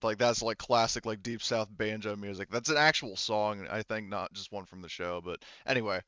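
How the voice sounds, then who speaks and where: A man's voice: fairly low pitch, steady tone, moderate pace, a bit bright, clear, resonant tone, no echo, highs cut off. A man acting as game master, narrating a tabletop game casually in an explanatory, conversational way with frequent qualifications.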